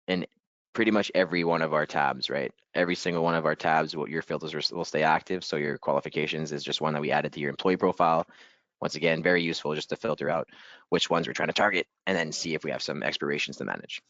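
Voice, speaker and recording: A man leading a software training webinar in a casual, upbeat way, a little hoarse and clearing his throat. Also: very deep voice, steady tone, fast, no echo, muffled recording. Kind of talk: webinar screen-share walkthrough.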